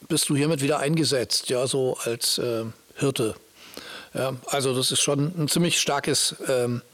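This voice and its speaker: Guy chatting about bible stuff, slightly high voice, informal, stops and starts hunting for words. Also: fairly bright tone. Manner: halting, searching for words, informal. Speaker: speaker explaining Bible stories. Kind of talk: casual conversational Bible discussion.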